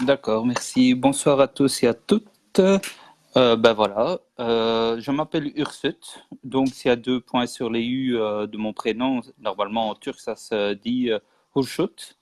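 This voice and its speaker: A man's voice: medium pitch, fairly even intonation, a little slow, darkish tone. A man from Belgium, a guest in a casual interview, explaining history earnestly and insisting on points with repetition. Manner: earnest, insistent, repeats for emphasis.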